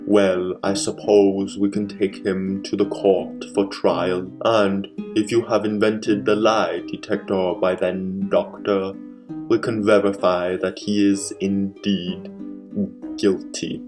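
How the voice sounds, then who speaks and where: Man putting on a deep, slow, solemn gentleman. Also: little pitch movement, music in the background. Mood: grave, calm. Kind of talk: old-time radio comedy mystery acting.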